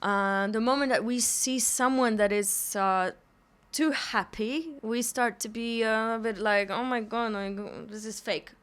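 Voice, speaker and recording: A woman's voice: a little high, steady tone, unhurried, few pauses, a bit bright, clean tone. A woman from Poland, a guest on a podcast, speaking in a reflective, chatty way with many fillers. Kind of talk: casual conversation.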